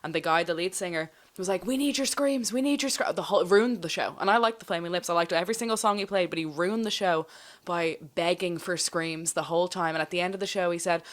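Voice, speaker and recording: A woman with a slightly low voice co-hosts a casual podcast. She talks informally and chattily, like someone telling stories to a friend. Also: quick pace. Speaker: podcast co-host and ASMR channel presenter.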